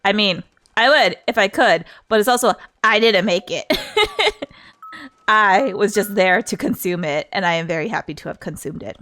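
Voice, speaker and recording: A woman's voice: medium pitch, animated, normal speed, bright, forceful tone, faint music behind. A woman livestreamer chatting casually in a playful, giggly, rambling way. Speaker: streamer.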